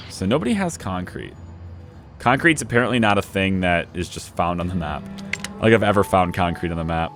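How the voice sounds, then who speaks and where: A young man hosting a let's play, chatting casually as he plays and talking to his units, a little hoarse from losing his voice. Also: low voice. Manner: chatty, thinks aloud, talks to his units. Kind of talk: casual gameplay commentary.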